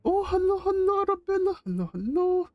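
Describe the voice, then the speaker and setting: A woman's voice: extremely high pitch, sing-song, brisk, dry recording, lo-fi sound. A woman livestreaming a game in a quaint character persona, repeating words and speaking of herself in the third person. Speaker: game streamer.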